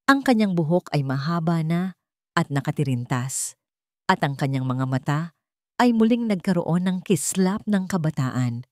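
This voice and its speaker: A woman reading a sentimental love story as a scripted narration, in a measured, earnest storytelling manner. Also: low voice, darkish tone, no room sound, studio quality.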